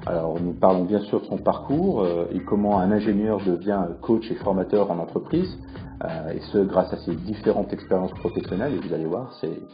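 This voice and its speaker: A man hosting a podcast, opening the episode in a low, steady voice with frequent hesitations. Soft music plays faintly behind him, and the sound is a bit muffled. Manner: measured delivery with frequent hesitations. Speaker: podcast host. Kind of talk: recorded podcast intro.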